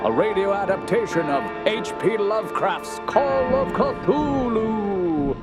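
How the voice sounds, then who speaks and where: Over very loud music, a grandiose horror radio announcer. His pitch is high and swoops widely, making each introduction big and theatrical. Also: lively intonation, slow. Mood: dramatic, grandiose.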